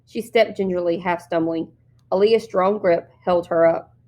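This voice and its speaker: A woman reading a novel aloud as an expressive storyteller, narrating the scenes. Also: on the low side, moderate pace. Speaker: story reader.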